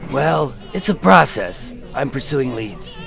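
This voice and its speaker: An actor playing a measured, dogged undercover federal agent in a radio drama, with an understated, gravelly delivery. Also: male voice, mid-range voice, sing-song, a bit bright, exaggerated voice. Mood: guarded. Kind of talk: radio drama acting and narration. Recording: music behind the voice.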